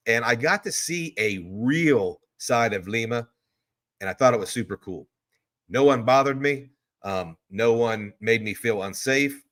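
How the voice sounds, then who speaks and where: A man's voice: medium pitch, a bit bright. A man hosting a live stream, chatting loosely and answering viewer questions in a rambling, easygoing way. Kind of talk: casual live stream chat. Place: hotel room.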